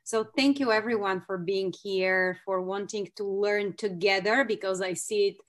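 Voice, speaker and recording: A woman's voice: medium pitch, steady tone, normal speed. A woman from Bulgaria with a Bulgarian accent, a clinical psychologist giving an effusive online training talk over a video call, with non-native phrasing.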